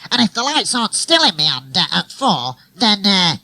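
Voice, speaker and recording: A man's voice: high voice, sing-song, normal speed, vocal fry, pushed and bright, pitched above the performer's own voice, full character voice. A man voicing a stern, exasperated mum who scolds her son in a comic story. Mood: scolding.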